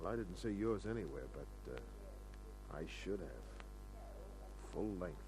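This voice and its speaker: A man's voice: low voice, expressive, a little slow, crowd noise. An Australian man acting a wry, tough, flirtatious private investigator in a laconic 1950s radio crime drama style. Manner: laconic, wisecracking. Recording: noisy old radio recording with hum.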